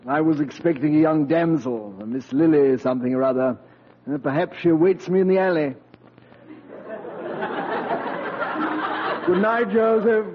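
A pompous, plummy stage ham, played by a man, high for a man and wildly theatrical. He declaims flowery phrases with vain grandeur. The sound is a muffled, noisy vintage radio comedy. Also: brisk, lightly acted. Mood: grand, self-satisfied. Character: vain, flowery, womanizing old-school stage actor. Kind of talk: 1940s radio comedy acting.

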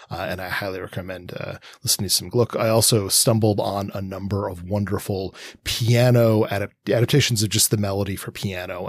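A man's voice: fairly low pitch, creaky, husky, dry recording. A man with an American accent in casual podcast discussion, enthusiastically sharing recommendations as a co-host.

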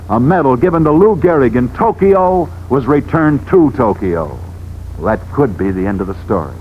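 An American man telling sports stories as a 1940s radio host, emphatic and theatrical, building up through repetition. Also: mid-range voice. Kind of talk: 1940s dramatic radio narration. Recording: dull, noisy 1940s radio recording.

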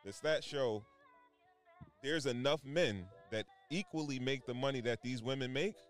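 A man's voice: medium pitch, unhurried. A man co-hosting a casual podcast debate, blunt and profane, cutting in to dismiss and push back.

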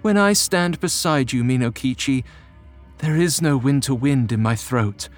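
A man's voice: fairly high pitch, slow, gravelly, soft background music, voice pushed up, heavily acted. A man voicing a grieving, vengeful snow-spirit wife in an impassioned, accusing storytelling performance. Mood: anguished confession. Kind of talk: dramatic storytelling.

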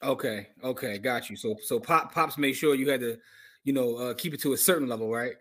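A man's voice: medium pitch, fairly bright tone. A man hosting a podcast, talking in an upbeat, slangy, casual way as he interviews a guest. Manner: fast, upbeat, slangy banter. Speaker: podcast host.

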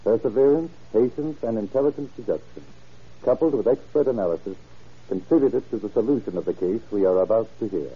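An American man with a husky voice giving a formal, scripted address on old radio as a sheriff. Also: on the low side, brisk. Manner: formal, oratorical. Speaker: county sheriff, guest speaker. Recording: muffled 1930s radio recording.

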